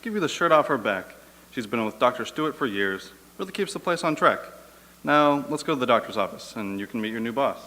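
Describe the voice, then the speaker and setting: A man playing an upbeat, loyal dental office colleague in an audio drama, talkative and flattering. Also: mid-range voice, fairly quick, slight background noise. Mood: friendly, upbeat. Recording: reverberant hall.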